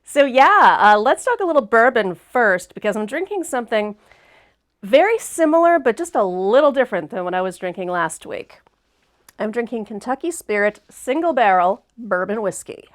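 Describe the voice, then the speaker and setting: A woman's voice: a little high, big pitch swings, normal speed. A woman hosting a casual livestream chat, sounding a bit sniffly and congested, talking in a chatty, upbeat way. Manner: chatty and upbeat, laughs easily. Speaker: host.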